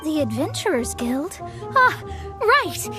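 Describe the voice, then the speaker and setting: A proud young female astrologist from an anime game, with a very high, swooping voice. She talks in a bookish, theatrical way and stammers when flustered. Music plays behind her. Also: very animated, takes it slowly, exaggerated voice. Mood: flustered, resigned.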